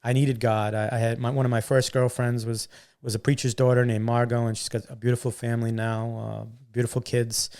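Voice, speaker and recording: A man's voice: mid-range voice, flat delivery, a little fast, darkish tone. A man talking as a podcast guest, earnest and upbeat, repeating words and using many fillers. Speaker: guest, entrepreneur. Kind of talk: casual interview chat.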